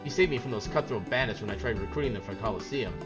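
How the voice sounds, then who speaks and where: A man voicing an eager, money-minded fixer from a video game, brisk, chatty and self-assured. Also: fairly low pitch, close to an everyday voice. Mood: eager, boastful. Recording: game music behind the voice.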